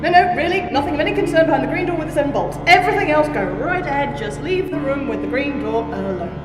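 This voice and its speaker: Woman playing a blustering high-voiced jovial gentleman. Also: lively intonation, quick pace, in a large hall, music in the background. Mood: evasive, insistent. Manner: chummy, blustering, evasive. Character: jovial, evasive gentleman employer hiding a secret. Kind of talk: comic audio drama acting.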